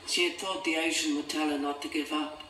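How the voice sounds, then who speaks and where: A man's voice: on the high side, level tone, a little fast, bright, forceful tone, slight background noise. A man reading a scripted children's storybook as its narrator. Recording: echoey, distant, music behind.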